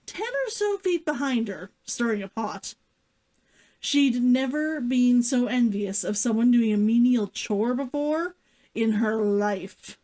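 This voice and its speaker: High-pitched, animated woman streamer. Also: low-quality recording. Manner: giddy, giggly, gushing and repetitive while chatting. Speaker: vtuber and author hosting a read-along. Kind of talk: excited chat to viewers, then story reading.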